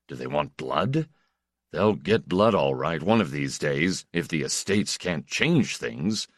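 Man reading as a grave, low-voiced older brother. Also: no echo, studio quality. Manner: grave, diffident, ironic. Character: grave, diffident, watchful older brother, weary of taxes.

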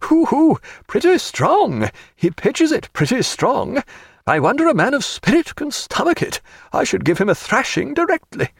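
A man's voice: extremely high pitch, huge pitch swings, normal speed, creaky, breathy edge, no room sound, studio quality, pitched above the performer's own voice, full character voice. A man voicing a gossipy, disapproving male secretary who goads his superior in an audiobook sea story. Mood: scandalised relish. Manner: tut-tutting, goading, deferential.

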